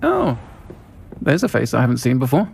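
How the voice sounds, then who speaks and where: An actor playing a warm, protective tavern keeper woman, assured and dry-witted, in a fantasy game. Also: medium pitch, wildly swooping pitch, moderate pace, creaky, slight background noise. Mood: welcoming.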